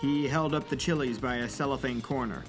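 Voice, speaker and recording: A man's voice: mid-range voice, a little fast, over music. A man narrating a scripted story for an audio drama.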